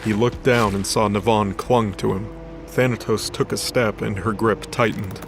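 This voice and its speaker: A man's voice: on the low side, steady tone, normal speed, vocal fry, music in the background. A man reading scripted story narration for an audio drama.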